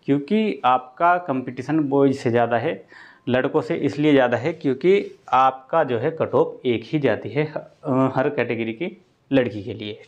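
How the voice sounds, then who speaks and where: Casting: male exam coach, informal and explanatory, repeats points, checks understanding; muffled lo-fi audio, mid pitch. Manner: explanatory, repetitive, often checks with "okay". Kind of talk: informal exam-prep lesson to students. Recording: dull lo-fi sound.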